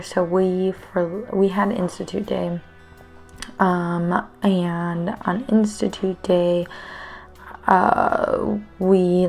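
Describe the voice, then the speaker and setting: A woman's voice: fairly low pitch, creaky, darkish tone. A woman in her thirties, a teacher, rambling casually with many fillers in a voice-over for her planner video, her voice a little worn. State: slightly losing her voice.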